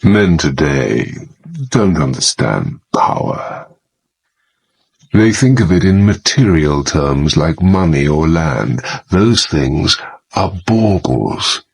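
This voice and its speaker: A very deep, slow-talking villain. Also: male voice, lively intonation, vocal fry, a little mellow, breathy edge, full character voice. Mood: coldly grandiose. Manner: grandiose, philosophizing, deliberate. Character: menacing, power-hungry male villain and gentleman host. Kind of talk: audio drama acting.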